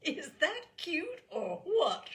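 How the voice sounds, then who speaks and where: A woman's voice: high-pitched, huge pitch swings, slow, pitched above the performer's own voice, heavily acted. A woman voicing a gushing, amused, flirty blonde woman in a storybook reading, with teasing exclamations.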